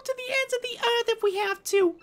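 A woman's voice: very high-pitched, a little fast, a little hiss or hum. A woman voicing a melodramatic young mob boss's daughter, passionate, haughty and defiant. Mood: desperate, passionate. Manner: dramatic, haughty, pleading.

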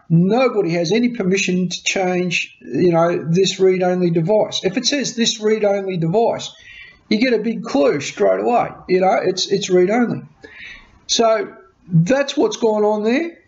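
Middle-aged Aussie man, high-ish voice, head cold, casual rambling tech vlogger, muffled webcam sound. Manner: chatty, repeats words, animated hand gestures. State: has a cold. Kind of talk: casual rambling explanation to camera. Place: small cluttered home workshop room. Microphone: webcam at desk. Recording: dull lo-fi webcam audio.

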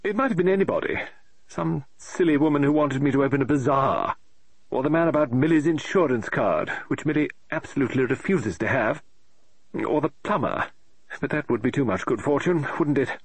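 A man's voice: medium pitch, expressive, fairly quick, husky, no echo. A man voicing a scatterbrained middle-aged woman novelist in an audiobook, fretful and muttering, exclaiming in despair. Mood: fretful, scattered. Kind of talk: audiobook character voice.

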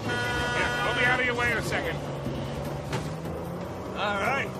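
Wisecracking action-game adventurer, loud music behind. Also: male voice, extremely high pitch, steady tone, unhurried. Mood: urgent, exasperated. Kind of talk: video game action dialogue. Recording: game audio under loud music, engine and gunfire.

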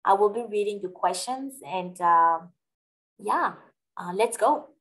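A woman with a French accent explains business topics to a live webinar audience, pausing with plenty of fillers. Her voice sits at an ordinary middle pitch, picked up by a webcam on a video call. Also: animated, fairly bright tone. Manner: explanatory, hesitant fillers, friendly. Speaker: webinar presenter.

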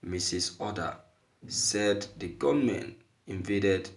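A man's voice: fairly low pitch, slow pace. A man with a Nigerian accent giving opinionated news commentary as a host, emphatic and repeating phrases for stress.

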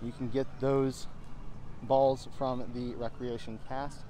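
A man narrating a walking tour of a resort in a steady, informative guide manner, filmed on a handheld camera as he walks. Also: medium pitch, slow, slight background noise. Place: outdoors and inside a resort, walking.

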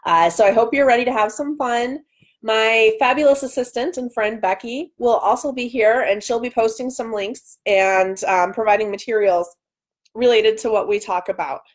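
A woman's voice: fairly high pitch, steady tone, moderate pace. Woman, thirties, livestream host, chatty, playful, casual talk, webcam in a small room. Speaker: author hosting a livestream. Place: small room at home, indoors. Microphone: webcam at arm's length.

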